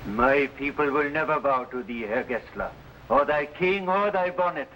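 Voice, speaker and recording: An actor playing a proud, defiant captive rebel archer, declaiming in archaic phrases in an old adventure serial. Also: male voice, a little high, lively intonation, normal speed, pushed and bright. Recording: noisy old broadcast recording.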